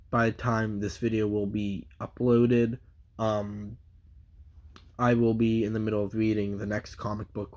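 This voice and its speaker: A man with a stuffy nose from a cold, giving a casual, rambling comic book review full of fillers and restarts. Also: fairly low pitch.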